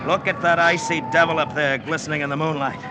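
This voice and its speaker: Man as high-pitched climber, very noisy old radio. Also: steady tone, fast talker, natural voice. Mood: curious, eager. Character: male mountain climber, bold, curious expedition member. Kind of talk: 1940s radio drama acting. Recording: very noisy old radio, wind-like effects behind.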